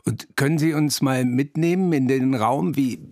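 A man's voice: mid-range voice, moderate pace, a little mellow, no room sound, studio quality. Articulate male radio interviewer. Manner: smooth, articulate questioning.

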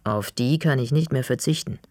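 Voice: mid-range voice, moderate pace, a little mellow, dry recording, clean studio sound, close to an everyday voice. Ein Vorleser spricht einen ungeduldigen Jungen. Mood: restless. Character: stubborn teenage boy, impatient and hurt. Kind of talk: audiobook reading.

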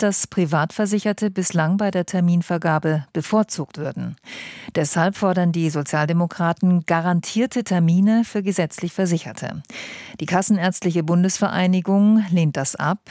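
A woman's voice: on the low side, a little mellow, dry recording. Woman reading radio news, dry studio. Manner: measured, formal delivery. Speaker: news anchor.

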